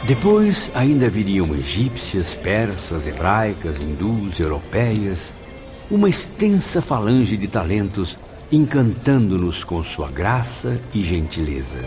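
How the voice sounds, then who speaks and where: Camilo, a deep, melancholy Brazilian spirit. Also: male voice, huge pitch swings, slow, a little mellow, full character voice. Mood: admiring. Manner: ornate, emotional, theatrical delivery. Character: Camilo, melancholy suicide spirit, awed and grateful. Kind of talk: radio drama acting. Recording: music under the voice.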